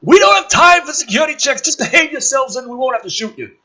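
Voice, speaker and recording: A man's voice: very high-pitched, very animated, quick pace, bright, forceful tone, voice pushed up, heavily acted. A man voicing a smug, chatty, foul-mouthed government operative in a mocking script read, with flippant, exaggerated emphasis. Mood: boastful.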